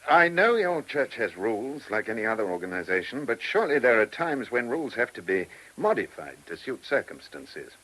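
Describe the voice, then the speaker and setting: An actor playing a probing, polite but insistent chief inspector with a British accent and a husky voice in a radio play. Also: male voice, medium pitch, sing-song, brisk, few pauses, pushed and bright, full character voice, rough recording. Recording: old radio drama recording.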